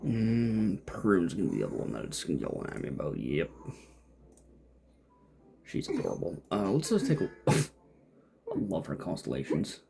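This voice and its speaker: A man's voice: sits low, wildly swooping pitch, a little slow, gravelly, long pauses, mellow, a little hiss or hum. A man giving casual, off-the-cuff gameplay commentary, muttering and thinking aloud to himself as he plays. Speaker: gamer playing and commenting.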